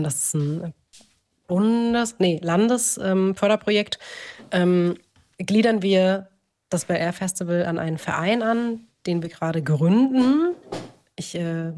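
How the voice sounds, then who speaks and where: A woman co-hosts a relaxed podcast chat. She has a medium-pitched voice and talks in a chatty, informal way. She often breaks off into joking asides before picking up her thread again. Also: slight background noise. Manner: chatty, self-interrupting, joking. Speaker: podcast co-host. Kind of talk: casual chat. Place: indoors, remote connection with own microphone setup. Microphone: own clamped desk microphone.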